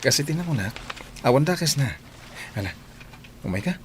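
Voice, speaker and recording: A man acting a reassuring, coaxing gentleman in an Ilocano radio drama, with an Ilocano accent. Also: medium pitch, very animated, moderate pace, slight background noise, natural voice.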